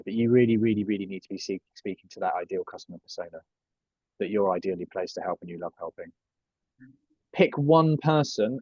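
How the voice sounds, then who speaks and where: A man's voice: on the low side, darkish tone, dry recording. Young male coach, loose and jokey, swears a lot; dry, muffled sound. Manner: chatty, swears freely, jokey asides. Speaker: personal branding coach. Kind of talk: casual group coaching talk.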